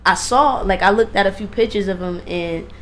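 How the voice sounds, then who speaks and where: A woman's voice: medium pitch, normal speed, slight background noise. A woman radio DJ chatting casually and emphatically in slang as she interviews a guest, often repeating phrases for emphasis.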